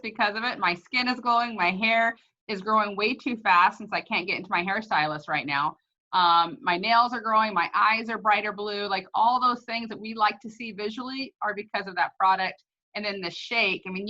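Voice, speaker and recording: A woman's voice: medium pitch, brisk, pushed and bright, highs cut off. A woman promoting products in a chatty, gushing, casual testimonial style.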